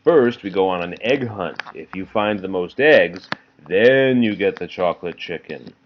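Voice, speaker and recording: A man's voice: low voice, animated, unhurried, lo-fi sound, slight background noise. A man voicing a bossy, exasperated young rabbit girl who chides her brother in a children's read-aloud. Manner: bossy, chiding.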